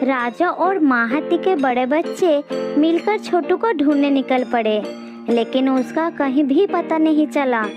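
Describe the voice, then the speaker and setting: A woman's voice: high voice, measured pace. A woman reading a scripted children's story as narrator. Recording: dull lo-fi audio under loud music.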